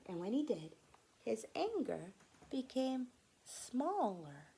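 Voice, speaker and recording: A woman teacher reading aloud to young children, explaining things gently in simple, instructive phrases. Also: on the high side, sing-song, takes it slowly, creaky.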